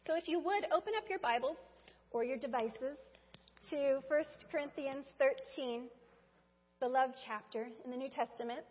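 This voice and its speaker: A woman's voice: high voice, moderate pace. A woman preaching a Mother's Day sermon in a church, talking conversationally and speaking straight to the congregation. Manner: conversational, addresses congregation directly. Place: church sanctuary. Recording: dull sound with long hall reverb.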